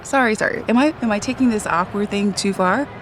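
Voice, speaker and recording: An actress playing a playful, teasing young woman in a romantic comedy audio drama, giggly and excitable in a conversational way. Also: medium pitch, fairly quick, a little hiss or hum, close to an everyday voice. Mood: sheepish, earnest.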